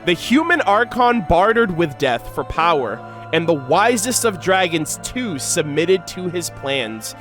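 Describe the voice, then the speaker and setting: A man giving excitable, slangy reaction commentary as a gaming creator, mixing in read-aloud game lore. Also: on the high side, a little slow, faint music behind. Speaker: gaming content creator, host.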